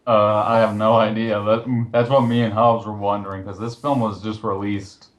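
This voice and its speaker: A man's voice: fairly low pitch, little pitch movement, moderate pace, highs cut off. A man chatting casually in an online voice call, explaining things in a slightly hesitant, rambling way. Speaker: participant in a recorded online voice chat. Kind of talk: casual chat, recounting a list. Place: recorded Skype call.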